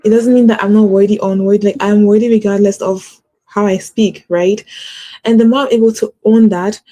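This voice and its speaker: A woman's voice: mid-range voice, fairly even intonation, a little fast, warm tone. A woman hosting a casual motivational talk, earnest and encouraging, with a stutter and many fillers like "like". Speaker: host.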